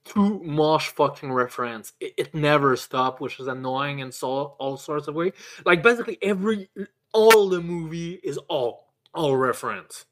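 Stammering, higher-voiced man in blunt review chat. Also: lively intonation, normal speed. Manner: stammering, repeats words and restarts often; blunt, sweary complaining. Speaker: podcast co-host. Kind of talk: casual movie review chat.

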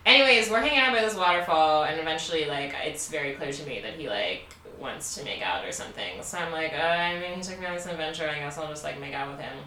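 A young woman from Baltimore chats candidly, laughing as she tells her story. Her voice is bright and a little low. She sits back from the mic in an echoey room. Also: lively intonation, quick pace, few pauses, far from the mic, slight background noise. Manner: candid, laughing, many "like" fillers. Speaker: podcast co-host. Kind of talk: casual storytelling chat.

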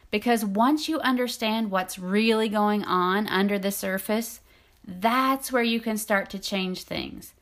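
A woman's voice: on the high side, a bit bright. Soothing-voiced woman hosting a podcast. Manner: friendly, chatty, laughs lightly. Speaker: podcast host and intimacy coach. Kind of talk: solo podcast talk, partly scripted.

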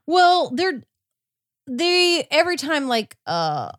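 A woman's voice: high voice, very animated, slow pace, dry recording, studio quality. A woman podcast host chatting casually about a case, often hesitating and correcting herself as she goes. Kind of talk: casual chat recapping a court case.

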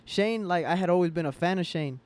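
A man's voice: sits high, a little fast, a little mellow, smooth. A young man from the Atlanta area chatting casually as an interview guest, rambling and slangy, leaning heavily on the word "like". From Atlanta area, Georgia, USA. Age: young adult. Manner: slangy, rambling, heavy use of "like". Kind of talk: casual chat.

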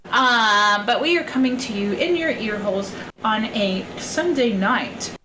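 A woman co-hosting a casual podcast chat, bubbly and quick to laugh, with a shrill voice. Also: animated. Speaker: podcast co-host. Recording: echoey room with background noise.

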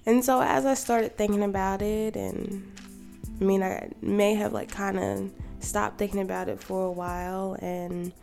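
A woman in her thirties, a podcast co-host, talking calmly and reflectively in a quiet, soft voice. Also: medium pitch, a little slow, creaky. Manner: calm and gentle. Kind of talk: reflective personal monologue. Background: music.

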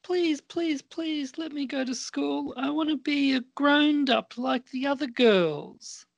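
A woman playing an excitable, pleading little girl in an amateur radio play. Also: high-pitched, slow, creaky, dry recording, highs cut off, full character voice.

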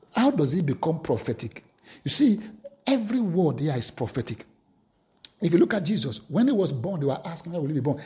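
A man's voice: medium pitch, mellow. A man preaching a sermon, reading scripture aloud with emphatic, rising delivery.

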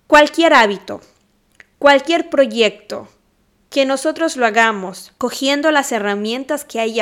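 A woman hosting a solo podcast, talking casually and animatedly, speaking straight to her listeners. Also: fairly high pitch. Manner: animated, addresses listeners directly, occasional laughs. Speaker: podcast host.

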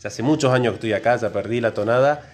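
A man's voice: medium pitch, quick pace, a little mellow. A man from Córdoba with an Argentine accent, co-hosting a casual podcast conversation. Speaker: podcast co-host, biologist.

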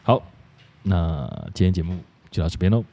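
Very deep, mellow Taiwanese male host. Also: moderate pace. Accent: Taiwanese Mandarin. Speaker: podcast host. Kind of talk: casual chat.

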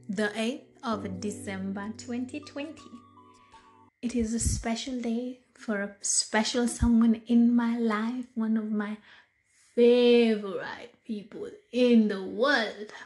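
Slow-talking hoarse Kenyan woman. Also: a little high, a little mellow. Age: 30s. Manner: slow, deliberate, chatty with frequent fillers. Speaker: podcast host. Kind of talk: casual solo monologue.

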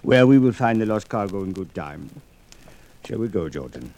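Measured police chief, low pitch, formal wording, dry amusement, vintage radio drama, faint noise. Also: male voice, very animated, mellow. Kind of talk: 1940s-50s radio drama acting. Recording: slightly noisy old radio recording.

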